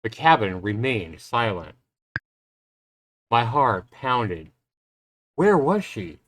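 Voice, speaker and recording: A man hosting a livestream, reading a visual novel's first-person story aloud in an expressive, suspenseful way. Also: mid-range voice, slow pace, slight background noise, rough recording. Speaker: livestream host. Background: game music.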